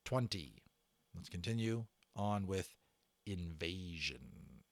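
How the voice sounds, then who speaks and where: A man narrating a children's novel in a theatrical way, stressing words and pausing for drama. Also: deep voice, expressive, no echo. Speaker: audiobook narrator and channel host. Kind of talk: dramatic read-aloud storytelling of a children's novel.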